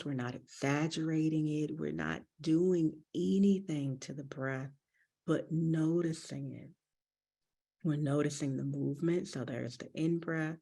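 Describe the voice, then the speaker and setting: A woman leading a guided breathing meditation as a teacher, with gentle, deliberate, soothing instruction. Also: sits low, creaky.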